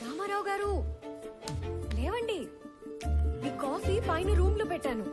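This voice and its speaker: An actress playing a devoted, insistent young wife in a film drama. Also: female voice, extremely high pitch, expressive, normal speed. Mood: insistent, brisk. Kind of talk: Telugu film drama dialogue. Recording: film soundtrack with very loud music.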